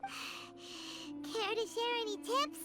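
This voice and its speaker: A woman voicing a tiny, excitable, whiny fairy companion in a video game, with a squeaky childlike voice. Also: very slow, music in the background, heavily acted. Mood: whiny, tired. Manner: refers to herself in third person, exclamatory. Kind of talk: scripted video game dialogue.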